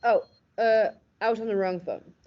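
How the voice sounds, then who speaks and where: A young woman playing a cynical, hardboiled police lieutenant with deadpan, tough-talking noir narration in a radio play parody. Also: mid-range voice, moderate pace, natural voice. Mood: brooding, deadpan. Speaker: student radio play actor. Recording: dull, muffled lo-fi recording.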